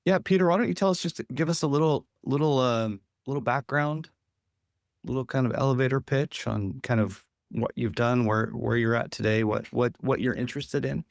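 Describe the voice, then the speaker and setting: A man's voice: medium pitch, moderate pace, vocal fry, dry recording, studio quality. A man hosting a podcast, upbeat and friendly, often restarting his sentences as he introduces a guest. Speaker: podcast host. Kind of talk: casual interview chat.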